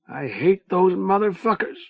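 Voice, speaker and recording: A man's voice: sits high, animated, measured pace, creaky, no echo, lo-fi sound, voice pushed up, exaggerated voice. A man voicing a wild young man who screams crude taunts over a prison wall, furious and nearly incoherent. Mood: bitter.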